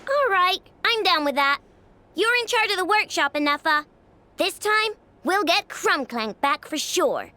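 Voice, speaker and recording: A woman's voice: very high voice, lively intonation, unhurried, bright, forceful tone, a little hiss or hum, exaggerated voice. A woman playing a stubborn, determined young girl who invents robots in a video game, earnest and plucky.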